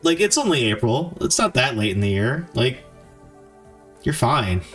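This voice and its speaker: A man chatting casually on a live stream while he codes, thinking aloud in a halting, informal, self-deprecating way. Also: mid-range voice, very animated, a bit bright, faint music behind. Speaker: game developer streamer.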